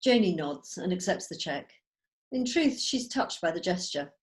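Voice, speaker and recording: A woman's voice: on the low side, lively intonation, normal speed. A woman narrating the stage directions of a script in a table read over a video call.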